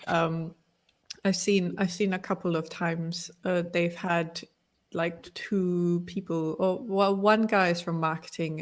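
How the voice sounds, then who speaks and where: A woman's voice: lowish voice, gravelly. A woman who speaks Malay as a first language, co-hosting a podcast in a casual, opinionated chat full of restarts. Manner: talkative, opinionated, many restarts. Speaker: podcast co-host.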